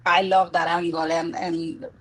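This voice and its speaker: Husky Argentine woman, eager explainer. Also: fairly low pitch, little pitch movement, unhurried, rough recording. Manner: enthusiastic, repeats words as she restarts. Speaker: guest, executive coach and author. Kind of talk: interview answers.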